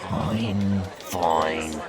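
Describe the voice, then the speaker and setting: An actor playing an irritable, jumpy lone-wolf detective in a 1920s radio drama, curt and prone to stammering. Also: male voice, low-pitched, very slow, a crowd in the background. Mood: irritated.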